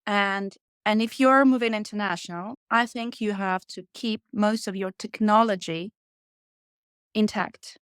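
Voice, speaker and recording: A woman's voice: medium pitch, clear, resonant tone, no echo. Russian-accented woman, dry close-miked voice. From Moscow, Russia. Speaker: podcast guest, hospitality brand consultant. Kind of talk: conversational interview answers.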